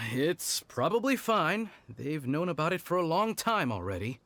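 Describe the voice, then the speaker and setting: A sighing, polite young clerk. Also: male voice, a little high, sing-song, measured pace, a bit bright, a little hiss or hum, full character voice. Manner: polite, hesitant, sighing, formal. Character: anxious, lovesick young male commission clerk. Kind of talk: game voice acting.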